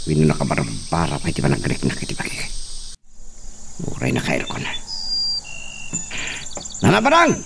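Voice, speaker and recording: An actor playing a spoiled, bad-tempered young master in an Ilocano radio drama, whiny and bossy. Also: male voice, very deep voice, creaky, heavily acted. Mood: grumbling, then irritated shouting. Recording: distant mic, faint cricket noise.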